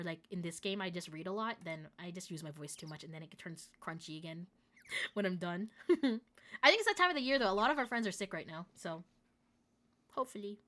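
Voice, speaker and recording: A young woman streamer with a congested, slightly crunchy voice from a recent cold. She chats easily with her viewers and says "like" often. She talks at an ordinary pitch into a desk microphone. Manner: chatty and warm with chat, many likes. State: hoarse, stuffy nose. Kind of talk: casual game stream chat, reading dialogue aloud.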